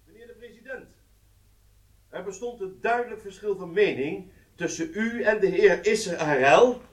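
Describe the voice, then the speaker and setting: Distant, high-voiced male interrogator. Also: lively intonation, normal speed. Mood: probing. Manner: formal, insistent, precise. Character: formal probing male inquiry commission questioner. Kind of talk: radio drama acting. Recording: roomy, distant from microphone.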